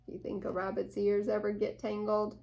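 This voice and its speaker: A woman's voice: mid-range voice, completely flat delivery, fairly quick, creaky, darkish tone, highs cut off. A woman reading a children's picture book aloud, expressively, with chatty asides and questions to her listeners. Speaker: storytime reader at a church.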